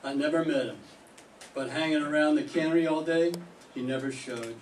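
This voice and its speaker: An older man playing a sullen, hard-drinking young male fisherman in a 1940s-style radio drama, gruff and defensive. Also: on the high side, moderate pace, close to an everyday voice. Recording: roomy classroom from a distant mic, faint hiss.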